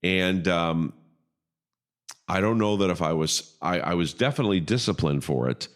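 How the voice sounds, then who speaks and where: A man's voice: deep voice, animated, normal speed. A middle-aged man with a big voice, a pastor hosting a casual podcast chat and talking emphatically, often checking in with "okay?".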